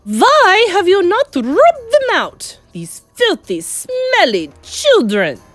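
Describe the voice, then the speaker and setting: A woman's voice: very high voice, wildly swooping pitch, takes it slowly, no echo, faint music behind, pitched above the performer's own voice, exaggerated voice. A woman voicing a vile, tyrannical witch leader in a storybook, imperious and contemptuous, drawing out her angry words. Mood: disgusted rage. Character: vile, tyrannical Grand High Witch, raging at her underlings. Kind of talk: storybook character voice.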